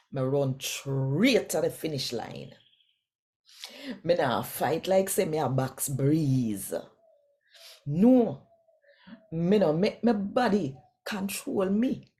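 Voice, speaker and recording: A woman's voice: deep voice, dark tone. A woman from Jamaica with a Jamaican accent giving a devotional scripture reading in Patois, speaking directly and conversationally to listeners. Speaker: Bible reader and host.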